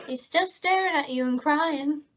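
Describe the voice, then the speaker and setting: A woman playing a sweet, dim-witted, soft-hearted young woman in an old-time radio comedy, naive and earnest. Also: sits high, unhurried. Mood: pleading. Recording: dull lo-fi audio with clear noise.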